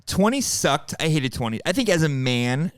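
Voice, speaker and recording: A man's voice: a little high, sing-song, moderate pace, clean tone, dry recording. A man in his forties co-hosting a podcast, chatting casually in a blunt, joking way and swearing freely. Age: 40s. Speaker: podcast co-host. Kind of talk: casual chat.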